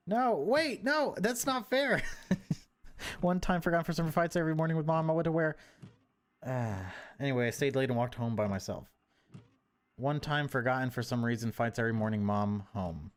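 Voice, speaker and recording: A man narrating a horror visual novel in a measured, unhurried way, with casual asides as a gaming video host. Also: medium pitch.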